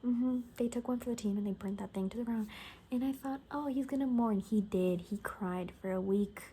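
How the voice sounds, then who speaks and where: A woman speaking softly in an ASMR roleplay as a gossipy survivor, rambling casually and wryly and often dropping into whispers. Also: a little high, moderate pace, slight background noise. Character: gossipy female bunker survivor in a zombie apocalypse. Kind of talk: soft-spoken ASMR, improvised gossipy storytelling.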